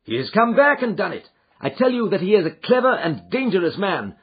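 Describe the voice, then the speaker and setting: A man playing a keen, commanding Victorian detective, talking high, brisk and emphatic on a dull recording. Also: very animated, slightly rough, full character voice. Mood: urgent.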